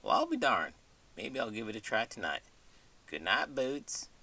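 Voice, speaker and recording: A good-natured cowboy acted out by a man drawls in a folksy American western accent. His pitch swings widely and the tone is bright and a little rough. He sounds puzzled by the world, as in a bedtime story skit. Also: wildly swooping pitch, moderate pace, distant mic, exaggerated voice. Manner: folksy, colorful cowboy idioms. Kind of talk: scripted comedic bedtime interlude.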